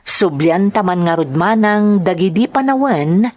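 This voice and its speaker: An actress voicing a reflective woman in measured, scripted first-person drama narration of her life. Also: fairly low pitch, animated, darkish tone, a little hiss or hum.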